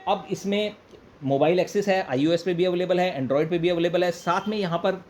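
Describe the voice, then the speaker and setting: A man's voice: sits high, normal speed, a little mellow. An Indian man presenting an informal product demonstration, explaining step by step, repeating points and mixing in English phrases. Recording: clear background noise, room ambience.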